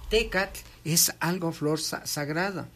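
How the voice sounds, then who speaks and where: A speaker talks in a patient, teacherly way, reading passages aloud and then explaining them. The voice sits at an ordinary middle pitch. They keep pausing to make sure the listener follows. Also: fairly bright tone. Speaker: guest explaining traditional midwifery. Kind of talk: conversational explanation, reading from a text and commenting.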